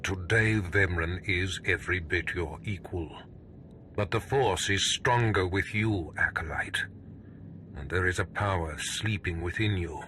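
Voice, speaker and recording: An actor playing a stern, scheming Sith lord in a video game, speaking in a measured, imperious, menacing manner. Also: male voice, sits low, bright, forceful tone, a little hiss or hum. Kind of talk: scripted video game drama.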